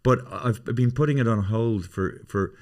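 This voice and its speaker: A man's voice: medium pitch, a little slow, darkish tone. A man in his fifties hosting a podcast, rambling through profane, self-mocking casual chat. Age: 50s. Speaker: podcast host.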